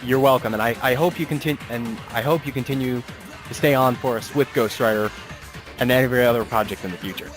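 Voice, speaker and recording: Man, average pitch, casual interviewer, rambling, self-deprecating, music in the background. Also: fast talker. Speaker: interviewer, audio drama writer and producer.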